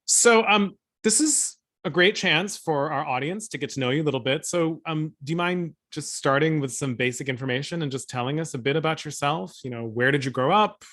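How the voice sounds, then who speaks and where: A man's voice: fairly high pitch, fairly quick, hardly any pauses, fairly bright tone. A man in his thirties hosting an online interview, chatty and upbeat, speaking over a video call from a room at home. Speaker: host.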